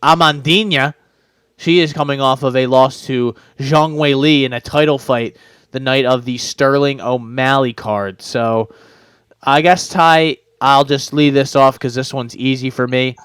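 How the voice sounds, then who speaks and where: A man's voice: mid-range voice, steady tone, unhurried, a bit bright, clean tone, dry recording. A man co-hosting a podcast, giving casual betting picks and rambling with lots of fillers and restarts. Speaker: podcast co-host. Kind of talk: casual sports betting chat.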